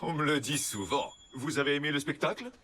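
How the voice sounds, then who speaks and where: A man's voice: on the high side, brisk, a little hiss or hum, lightly acted. A man voicing a cocky, showy but friendly celebrity stunt motorcycle in a children's cartoon. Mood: self-satisfied. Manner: boastful, showy. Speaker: cartoon character voice actor. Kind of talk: animated children's cartoon dubbing. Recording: loud music behind the voice.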